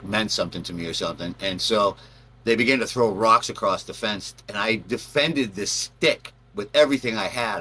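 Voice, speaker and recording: A man with a fairly low voice and a bright, forceful edge. He tells personal stories in a casual, rambling style, slipping into animated retellings of what people said. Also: slight background noise. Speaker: storyteller, channel host.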